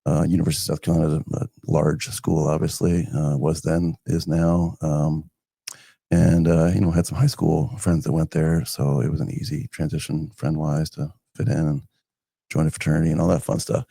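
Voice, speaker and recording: A man's voice: very deep voice, flat delivery, fairly quick, vocal fry, warm tone, husky, no room sound. A man in his fifties from northern New Jersey, a guest telling his life story in a loose, rambling way full of fillers and restarts. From northern New Jersey, United States. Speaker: guest, community foundation director. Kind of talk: casual conversational storytelling.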